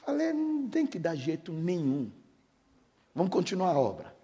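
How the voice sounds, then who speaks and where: High-voiced Brazilian pastor preaching in a reverberant hall. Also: male voice, wildly swooping pitch, moderate pace. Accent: Brazilian Portuguese. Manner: swings from hushed storytelling to shouted preaching. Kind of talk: sermon with personal testimony. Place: church hall. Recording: echoing hall, long reverb.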